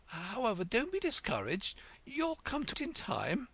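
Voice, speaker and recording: A storybook reader voicing a gentle, muddled queen who rambles nervously. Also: medium pitch, huge pitch swings, normal speed, breathy edge, pitched above the performer's own voice, exaggerated voice. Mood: anxious.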